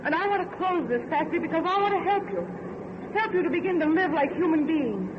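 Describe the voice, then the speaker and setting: An actor playing an idealistic, insistent factory heir in an old radio horror drama, with an earnest, pleading and commanding manner. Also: male voice, very high-pitched, animated, quick pace. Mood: urgent pleading. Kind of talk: 1940s radio horror acting. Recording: muffled old radio recording, faint hiss.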